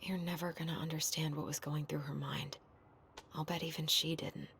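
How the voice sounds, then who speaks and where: An actress playing a self-doubting, caring young adult sister with an American accent in a naturalistic video game cutscene. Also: female voice, low-pitched, level tone, normal speed, fairly bright tone, slight background noise. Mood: somber.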